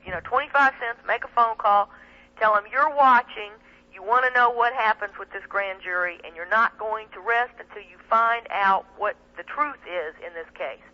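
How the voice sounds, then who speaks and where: A woman's voice: medium pitch, moderate pace, lo-fi sound. A woman speaking emphatically and indignantly as a guest in an impassioned talk radio interview. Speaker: guest, attorney and activist. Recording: band-limited 1990s shortwave radio, telephone sound.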